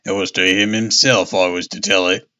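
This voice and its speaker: A man voicing a very old, stubborn seafaring man who grumbles indignantly in rough, uneducated speech, as in a storybook reading. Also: deep voice, steady tone, normal speed, bright, forceful tone, voice pushed down.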